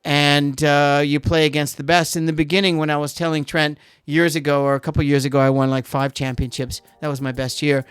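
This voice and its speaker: A man's voice: a little high, level tone, a bit bright, clean tone. A middle-aged man with a Canadian accent hosting a podcast, chatting casually and rambling off on tangents. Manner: rambling, digressive, quick to go off on tangents. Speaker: podcast host. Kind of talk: casual chat.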